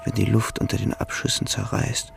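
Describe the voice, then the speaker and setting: An actor playing a haunted soldier who narrates a radio play in an intimate, reflective way. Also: male voice, fairly low pitch, little pitch movement, moderate pace, gravelly, over music. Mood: brooding, tense.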